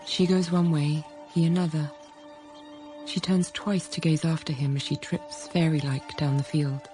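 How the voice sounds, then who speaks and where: An actress playing a frank, self-possessed young schoolteacher in a period radio drama, narrating quietly and thoughtfully. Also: female voice, sits low, level tone, measured pace, soft background music. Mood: reflective. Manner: quiet, composed, literate storytelling.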